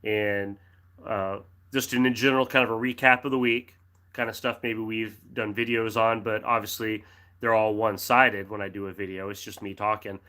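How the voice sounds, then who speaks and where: A middle-aged American man hosting a casual livestream, reading out viewer comments in a friendly, rambling way with restarts and throat clearing, his throat dry and a cough drop in his mouth. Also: fairly low pitch, little pitch movement, normal speed, sharp tone. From United States. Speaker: livestream host reading chat. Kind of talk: casual live chat.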